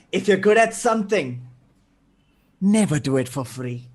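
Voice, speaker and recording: A man playing a manic, menacing criminal clown in a live table read, taunting with drawn-out words and cackles. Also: a little high, sing-song, husky, heavily acted.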